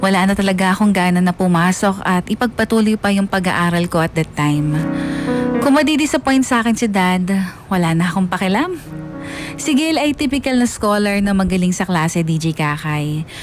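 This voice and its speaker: A woman narrates a scripted radio drama in the first person with a Filipino accent. Her voice sits at a middle pitch, with faint music underneath. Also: normal speed.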